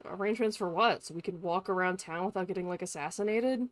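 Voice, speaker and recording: A woman's voice: fairly low pitch, quick pace. A woman live streamer giving casual, irreverent gaming commentary peppered with swearing. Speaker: live streamer playing a game. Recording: faint game music behind voice. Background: game music.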